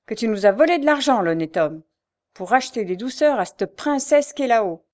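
A woman's voice: high-pitched, big pitch swings, normal speed, voice pushed up. A woman doing a shrieking vicious old beggar hag. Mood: furious. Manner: screeching, coarse, snarling.

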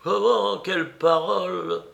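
A man's voice: sits high, slow, vocal fry, pitched above the performer's own voice, full character voice. A man voicing an agitated, affectionate and blunt old aunt in an audiobook, scolding and fussing with emphatic exclamations. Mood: brisk, probing. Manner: exclamatory, repeats words emphatically. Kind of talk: dramatised audiobook reading.

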